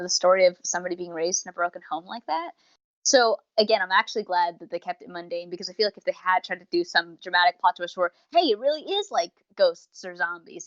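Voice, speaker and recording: Chatty, lower-voiced woman, slightly muffled. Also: no room sound. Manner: chatty, effusive, rambling with tangents. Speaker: podcast co-host reviewing audio dramas. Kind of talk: casual unscripted review chat.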